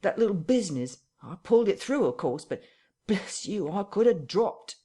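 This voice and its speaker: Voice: mid-range voice, very animated, normal speed, mellow, husky, pitched above the performer's own voice. A reader voicing a frightened, self-pitying tramp, plaintive and close to tears. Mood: whining. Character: whining frightened tramp, middle-aged man.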